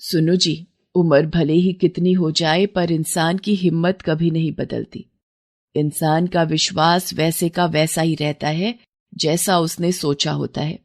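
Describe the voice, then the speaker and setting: A woman's voice: sits low, level tone, unhurried. Female VO: aging cartoon wife, firm and advising. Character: poor aging fisherman's wife, steadfast and wise.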